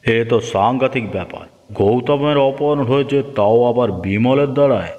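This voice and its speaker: A man's voice: mid-range voice, moderate pace, a little mellow, muffled recording, natural voice. A man playing a commanding, shrewd village master who gives orders and judgement in a Bengali cartoon. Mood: grave, urgent. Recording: long hall reverb, music underneath.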